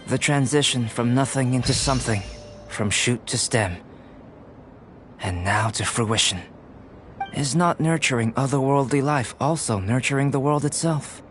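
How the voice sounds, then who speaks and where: A cerebral young alchemist from a video game, voiced by a man. He speaks at a middling pitch in formal, measured sentences, lecturing gently and philosophically, with faint ambience behind him. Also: background noise, lightly acted. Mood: reflective. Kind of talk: video game character acting.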